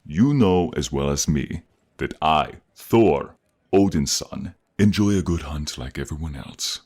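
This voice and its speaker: A man playing a proud, boastful Norse thunder god with a gruff, husky voice in a fantasy audio roleplay. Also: extremely low pitch, expressive, a little slow, darkish tone, exaggerated voice. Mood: indignant, boastful. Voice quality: gravelly, husky. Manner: boastful, pompous, easily provoked.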